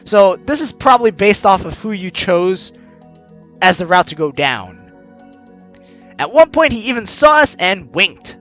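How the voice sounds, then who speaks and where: A man's voice: high-pitched, no echo. A man hosting a let's play, expressively reading game text aloud and commenting, on a close mic with music behind. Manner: expressive, acts out lines as he reads.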